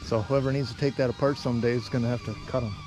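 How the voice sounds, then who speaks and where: A man's voice: medium pitch, steady tone, very fast. Guy talking through his outdoor build, offhand and plain, mid voice, music playing behind him. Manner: plainspoken, offhand, thinking aloud. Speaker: builder narrating own construction project. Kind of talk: casual DIY work narration. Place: outdoor building site beside a garage.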